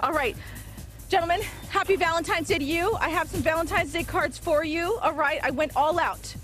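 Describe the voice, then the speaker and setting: A woman in her thirties, a TV morning show reporter doing bubbly, excited live interviews on an outdoor soccer field with a handheld mic. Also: extremely high pitch, normal speed, soft background music.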